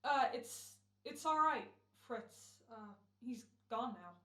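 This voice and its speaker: A woman playing a brisk, bossy, wry and self-assured radio director in an old-time comedy radio play. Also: on the high side, expressive, bright, forceful tone, echoey room, heavily acted. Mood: businesslike.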